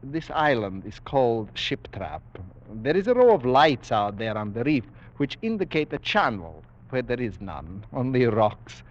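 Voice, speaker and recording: Menacing aristocratic general, courtly, boastful, highly varied pitch, 1940s radio drama, muffled vintage recording. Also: male voice, very animated, unhurried. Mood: urbane, sinister. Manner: courtly, formal, coolly boastful, savoring his words. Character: suave, menacing aristocratic general who hunts men for sport.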